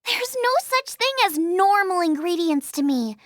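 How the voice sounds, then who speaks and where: A woman's voice: extremely high pitch, lively intonation, unhurried, fairly bright tone, no room sound, studio quality, heavily acted. A woman voicing a cheerful, stubborn, creative teenage girl chef in a video game, bubbly and emphatic. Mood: stubborn, firm. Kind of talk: animated game voice acting.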